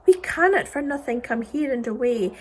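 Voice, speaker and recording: A woman's voice: high voice, animated, moderate pace, a little mellow. A woman voicing a small, insistent, chirpy singing bird in a children's poem. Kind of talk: children's poem read aloud.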